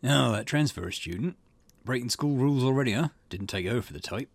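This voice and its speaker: A man voicing a gruff but caring school janitor in a visual novel game, with a folksy, paternal, grumbling manner. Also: mid-range voice, animated, brisk, dry recording, pitched below the performer's own voice.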